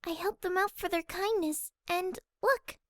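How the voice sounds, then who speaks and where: A woman voicing a shy, gentle young female pegasus pony in a fan audio drama, timid, hesitant and soft-spoken. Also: very high voice, unhurried, dry recording, clean studio sound. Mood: bashful.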